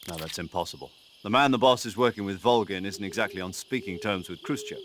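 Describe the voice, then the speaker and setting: A man's voice: deep voice, fast talker, a bit bright, a little hiss or hum. An actor playing a male mission commander briefing an agent over the radio, firm and urgent.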